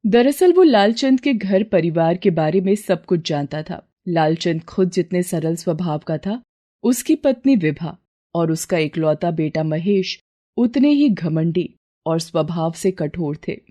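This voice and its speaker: A woman's voice: on the low side, expressive, measured pace, mellow. A woman narrator telling a scripted moral tale in a storytelling style.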